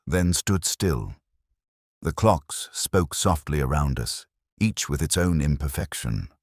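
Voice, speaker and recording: A man playing a melancholy older detective inspector, narrating case notes in a measured, reflective, literary way. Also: extremely low pitch, no room sound, clean studio sound, full character voice. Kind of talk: scripted first-person literary narration.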